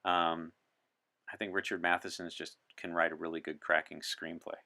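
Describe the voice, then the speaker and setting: Male interview guest, deep voice with a forceful edge, articulate and opinionated. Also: little pitch movement, normal speed. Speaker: podcast guest. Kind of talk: in-character interview as a time traveler from 1964.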